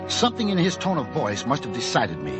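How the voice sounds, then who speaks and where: A man playing a restless, overworked office executive, expressive and somewhat hesitant, as lead and narrator of an old-time radio drama. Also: mid-range voice, a little fast. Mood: restless, reflective. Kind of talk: 1940s-50s radio drama acting and narration. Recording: vintage radio drama, loud music.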